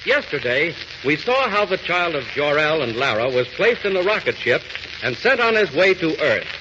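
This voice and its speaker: A male narrator of a 1940s radio serial, dramatic and declamatory. His fairly high voice moves in lively swoops, on an old recording with clear noise. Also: lo-fi sound.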